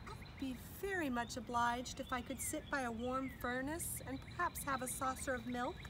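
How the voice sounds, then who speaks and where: A woman's voice: sits high, moderate pace, background noise. A woman voicing a courteous, worldly old female alley cat in a children's storybook reading. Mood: polite, hopeful.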